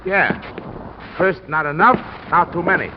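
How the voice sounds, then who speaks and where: A gruff, world-weary police guard speaks in a highish, curt voice in old radio drama style. The recording is muffled and buried in heavy noise. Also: male voice, lively intonation, a little slow. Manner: curt, offhand. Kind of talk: 1940s-50s radio drama acting.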